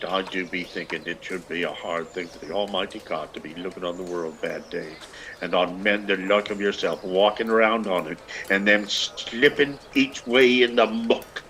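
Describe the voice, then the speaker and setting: A man's voice: sits low, fairly even intonation, normal speed, noisy background, natural voice. An actor playing a ragged, lazy older peasant man who grumbles and needles in a lilting, theatrical radio play style. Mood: sly grumbling.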